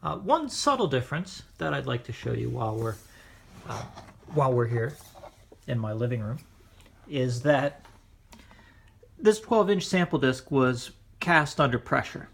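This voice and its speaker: A man hosting a casual hobby demonstration in his living room, explaining things in a measured, hesitant way. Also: mid-range voice, sing-song, gravelly, slight background noise. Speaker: host, record hobbyist. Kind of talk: casual demonstration of vintage records. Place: indoors, living room at home.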